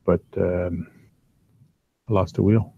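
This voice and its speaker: A man's voice: low voice, steady tone, slow, vocal fry, husky, no room sound, phone-quality sound. A man with a big voice hosting a casual chat while working at a hobby bench at home, rambling with lots of ums and uhs. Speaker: host. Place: downstairs workbench at home.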